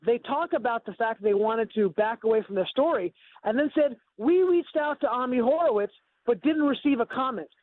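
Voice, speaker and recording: A filmmaker calling in to a radio show, speaking casually and repeating himself. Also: male voice, very high voice, lively intonation, normal speed. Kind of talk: phone interview. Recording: tinny phone line.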